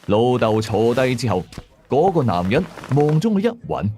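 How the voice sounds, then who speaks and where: A male storyteller with a Cantonese accent reads a first-person horror tale. His mid-pitched voice moves expressively up and down over faint background music. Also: sing-song, moderate pace, dark tone. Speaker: storyteller, narrator.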